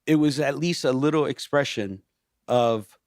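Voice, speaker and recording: A pastor and worship leader in casual podcast conversation, animated and restarting his sentences often, peppered with "you know". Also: mid-range voice, a little slow, dry recording, clean studio sound.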